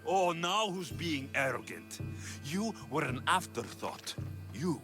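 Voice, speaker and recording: A man's voice: a little high, sing-song, takes it slowly, over music. A man playing a proud, defiant Greek mercenary hero in a video game, with a Greek accent and a blunt, sardonic manner. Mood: defiant, pleading. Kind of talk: dramatic video game cutscene acting.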